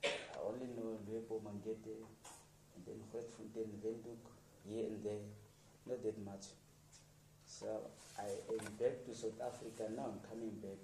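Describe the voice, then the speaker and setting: A radio producer from Namibia talking informally to students, encouraging them with plain, repetitive sentences and restarts. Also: male voice, fairly low pitch, level tone, unhurried, a little mellow, roomy sound, recorded from a distance, slight background noise, rough recording.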